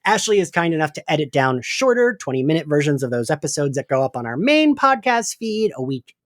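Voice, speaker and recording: A man hosts a casual podcast with a lively, swooping delivery. His manner is self-deprecating and chatty, and the sound is dry, with very little room on it. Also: on the high side, brisk, dry recording. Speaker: podcast host.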